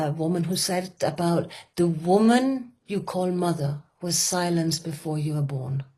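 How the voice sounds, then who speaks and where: A woman with a Danish accent speaking earnestly and with passion, pausing often with fillers and restarting her sentences. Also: on the low side, a little mellow. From Denmark. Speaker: women's work facilitator. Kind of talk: reflective conversation.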